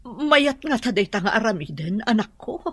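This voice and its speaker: A woman's voice: a little high, sing-song, normal speed, a bit bright, slightly rough, no echo, exaggerated voice. A woman playing an anxious middle-aged birth mother longing for her child, pleading emotionally in an Ilocano radio drama, with an Ilocano accent.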